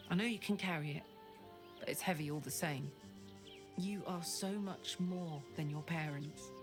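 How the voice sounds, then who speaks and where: An actress playing a loyal adventurer companion in a video game, speaking in an earnest, heartfelt and emphatic way. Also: female voice, lowish voice. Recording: music behind the voice.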